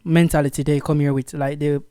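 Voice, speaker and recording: A man's voice: on the high side, flat delivery, brisk, mellow, smooth, no room sound, studio quality. A man co-hosting an interview show, teasing the guest in an emphatic way. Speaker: co-host. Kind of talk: casual interview chat.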